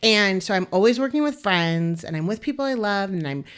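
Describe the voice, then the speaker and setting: A woman wedding photographer with a loud voice, chatting as a guest, gushing and enthusiastic with plenty of "like". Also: medium pitch, animated, brisk, clear, resonant tone. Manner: enthusiastic, gushing, filler-heavy "like". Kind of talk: casual interview chat.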